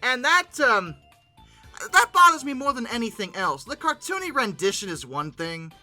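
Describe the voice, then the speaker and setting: Comedic commentary host, sharp bright voice, swooping pitch, exasperated, dramatic, informal. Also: sing-song, normal speed, faint music behind. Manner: informal slangy talk. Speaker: host of a paleoart commentary show.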